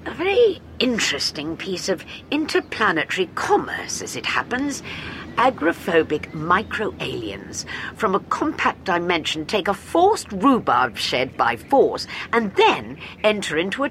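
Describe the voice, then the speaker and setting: A woman voicing a calm, curious male doctor with a dry, unflappable manner. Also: fairly low pitch, measured pace, a little hiss or hum, full character voice. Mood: wry.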